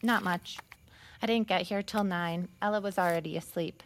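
An actress playing a friendly, obliging young babysitter in a naturalistic audio drama. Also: female voice, lowish voice, steady tone, normal speed, close to an everyday voice. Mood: friendly, helpful.

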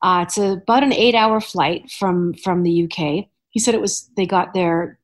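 Chatty woman host telling animated stories. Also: lowish voice, normal speed. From Canada. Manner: chatty storyteller, animated asides and laughs. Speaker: podcast host. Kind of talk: casual chat.

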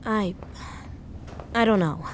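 A woman's voice: mid-range voice, takes it slowly, slight background noise, close to an everyday voice. A woman in her thirties acting a grieving, weary journalist in a naturalistic audio drama, hesitant and wry in manner. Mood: wry, unsure.

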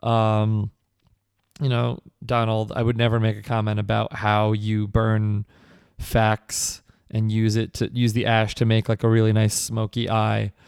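A man hosting a comedy podcast in a mock-earnest, rambling, deadpan way. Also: fairly low pitch, measured pace, vocal fry, clean tone, no echo, studio quality. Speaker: podcast host.

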